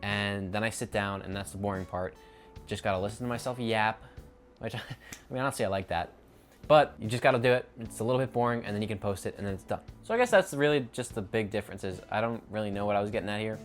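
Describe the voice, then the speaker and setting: A man's voice: fairly low pitch, fast, faint music behind. A young man talking straight to camera in an unscripted vlog, rambling and correcting himself as he goes. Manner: rambling, self-correcting, off the cuff. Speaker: YouTube creator. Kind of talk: unscripted casual vlog talk.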